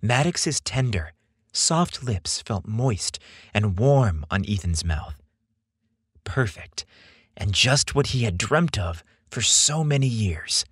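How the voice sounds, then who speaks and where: A man narrating a scripted romance story as a podcast host, in an expressive storytelling style. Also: lowish voice, slow, slightly rough, no room sound, clean studio sound.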